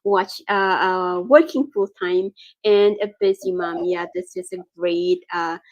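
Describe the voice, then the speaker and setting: A woman's voice: medium pitch, lively intonation, measured pace, dry recording, muffled recording. A woman hosting a casual interview, speaking hesitantly in non-native phrasing with many fillers. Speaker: host.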